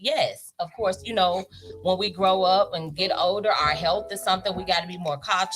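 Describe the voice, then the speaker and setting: A woman in her thirties on a casual panel chat, reflective and quick to laugh. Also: fairly low pitch, fairly bright tone. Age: 30s. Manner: reflective, laughs often, faith-minded. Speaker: co-host.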